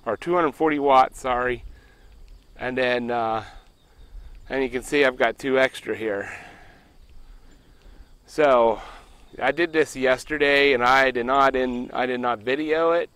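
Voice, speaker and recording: A man's voice: medium pitch, fairly bright tone, smooth, dry recording. Rambling middle-aged man outdoors. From Pennsylvania. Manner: rambling, self-correcting, plainspoken. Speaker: homestead solar vlogger. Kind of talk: casual walkthrough vlog. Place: outdoors by a ground-mounted solar array in a rural field.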